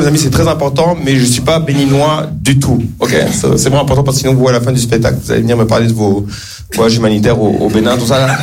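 A man's voice: medium pitch, background noise. A man doing a stand-up set, self-mocking, with frequent hesitations. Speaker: stand-up comedian.

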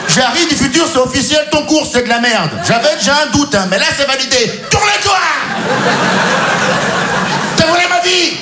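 Animated male stand-up comic, echoey room, loud crowd. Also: very high voice, normal speed, heavy background noise. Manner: theatrical, bursts into shouted outbursts. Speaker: stand-up comedian. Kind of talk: live stand-up comedy. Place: comedy stage in front of audience. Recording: echoey live venue, loud audience laughter.

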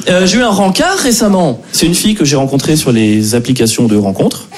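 Guy doing standup, lively up and down voice, crowd laughing in the room. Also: fairly high pitch, fairly quick. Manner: self-mocking, animated storytelling. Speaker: stand-up comedian. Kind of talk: stand-up comedy. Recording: live comedy club with crowd. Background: audience laughter.

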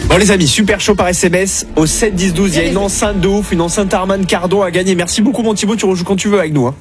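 A man hosting a live evening radio show, hyping callers and listeners with quick, upbeat banter over a music bed. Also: high voice, steady tone. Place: radio studio.

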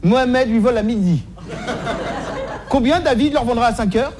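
A man's voice: extremely high pitch, animated, normal speed, very noisy. A man doing a stand-up comedy monologue in slangy, streetwise, mock-naive style, repeating phrases as he goes, on a live stage recording.

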